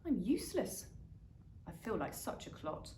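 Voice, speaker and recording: A woman's voice: medium pitch, sing-song, measured pace, mellow. A woman voicing a sensitive, earnest male giraffe in a children's storybook reading. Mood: dejected. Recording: echoey room with noticeable background noise.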